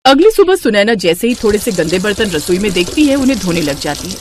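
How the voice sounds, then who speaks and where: A woman's voice: medium pitch, sing-song, fast talker, background noise. A woman narrating a scripted family moral story as storyteller.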